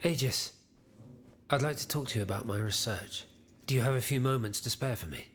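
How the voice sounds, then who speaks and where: A man voicing a grave, learned and devout inventor in a video game, solemn and deliberate. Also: mid-range voice, a bit bright, a little hiss or hum, lightly acted. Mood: grave, troubled. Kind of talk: video game cutscene acting.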